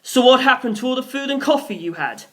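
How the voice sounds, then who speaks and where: A narrator voicing a high-strung, delicate male manga artist with a petulant, easily flustered manner in a story. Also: animated, quick pace, fairly bright tone. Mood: sulky.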